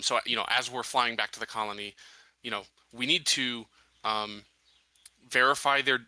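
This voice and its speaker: A man's voice: on the low side, sharp tone, dry recording. A man talking through plans as a tabletop roleplaying player, casual and thinking aloud. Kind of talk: casual in-game planning discussion.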